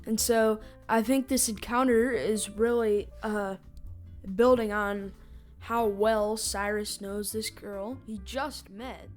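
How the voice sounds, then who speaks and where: A woman's voice: mid-range voice, fairly even intonation, slow. A woman hosting a casual fan review, giving recap and reaction commentary. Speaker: reviewer and superfan host. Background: music.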